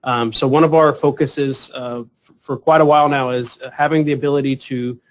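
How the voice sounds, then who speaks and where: A man hosting a webinar, introducing a product in a halting way with many fillers and repeated words. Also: medium pitch, steady tone. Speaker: webinar host. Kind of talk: semi-scripted product introduction.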